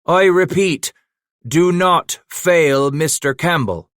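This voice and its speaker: A man's voice: a little high, a little slow, fairly bright tone, dry recording, studio quality, voice pushed up. A man voicing a commanding middle-aged caravan leader with a Scottish accent, giving formal, emphatic orders. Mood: stern.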